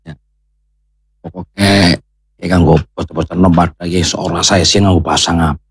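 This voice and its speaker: A man's voice: sits low, fairly even intonation, normal speed, husky, dry recording, studio quality. A man with a Banyumasan Javanese accent, a content creator guest who is laid-back and joking. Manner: laid-back, joking, slips into local dialect. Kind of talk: casual studio chat. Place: radio studio.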